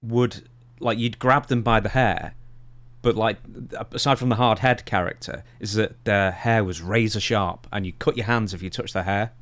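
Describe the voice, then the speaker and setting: A male podcast co-host talks in a fairly low voice with very little room sound. He lays out news items and questions in a casual, conversational way. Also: moderate pace, fairly bright tone, dry recording.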